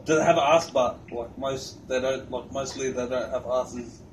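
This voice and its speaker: A man's voice: mid-range voice, fairly even intonation, moderate pace. A man in his thirties with an Australian accent, talking in crude casual slang as a prank caller. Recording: distant phone-camera pickup with noise.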